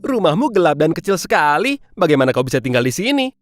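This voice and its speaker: Woman voicing a haughty, expressive city mouse. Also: deep voice, fast talker, faint music behind. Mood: disdainful. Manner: disdainful, boastful. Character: snobbish, haughty city mouse fond of luxury. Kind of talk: children's cartoon dubbing.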